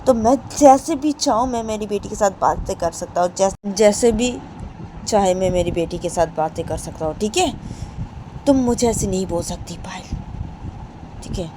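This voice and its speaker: A woman's voice: mid-range voice, normal speed, natural voice. A woman voicing an angry father who scolds his wife and then defends himself to his daughter. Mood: scolding. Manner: scolding, repeated questions. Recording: noisy recording.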